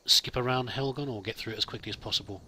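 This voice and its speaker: A man's voice: mid-range voice, fast talker, bright, forceful tone, a little hiss or hum, poor audio. A man musing aloud as he plays, improvising in-character commentary and talking to his companion as a let's play host.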